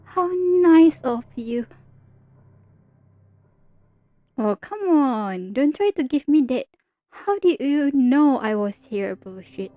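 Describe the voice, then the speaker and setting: A woman's voice: high-pitched, animated, takes it slowly, no room sound, dull audio. A woman playing a theatrical, playful supervillain in an ASMR roleplay, with a sarcastic, drawling, mock-dramatic delivery. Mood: smug, teasing. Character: teasing, theatrical female supervillain, playful and world-weary.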